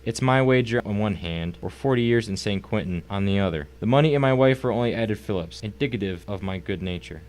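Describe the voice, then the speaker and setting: A man's voice: on the low side, fairly quick, hardly any pauses, a little mellow, natural voice. A man playing a smug, wealthy, cultured villain in an audio drama, speaking in a pompous, formal, mocking way. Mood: condescending. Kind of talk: dramatic audio story acting.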